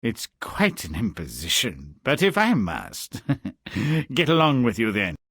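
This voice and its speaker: An actor voicing a haughty, scheming, cruel king in a fairy tale game, with pompous, menacing, drawn-out delivery. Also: fairly low pitch, huge pitch swings, a bit bright, breathy edge, no room sound, studio quality, heavily acted. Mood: greedy, haughty.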